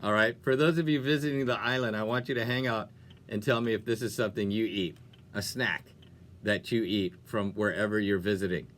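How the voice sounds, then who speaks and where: A man presenting on music radio as a DJ, with an animated, folksy, playful delivery and chatty talk breaks. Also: on the low side, fairly quick. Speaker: radio DJ and show host.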